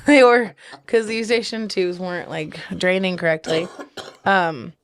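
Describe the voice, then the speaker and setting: A chatty, giggly woman co-hosts a casual podcast and keeps finishing her partner's sentences. Her voice sits on the low side and sounds slightly stuffy, and the recording is close and dry. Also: measured pace, dry recording. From big city. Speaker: podcast co-host. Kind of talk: casual chat.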